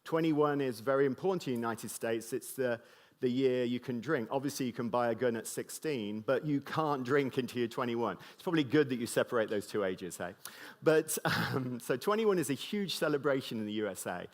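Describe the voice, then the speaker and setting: A man's voice: mid-range voice, animated, fairly quick. Joking British man giving a keynote. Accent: British English with a slight mid-Atlantic tinge. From Britain. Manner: joking and loose, with many fillers and restarts. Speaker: conference keynote speaker. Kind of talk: conference talk to a live audience.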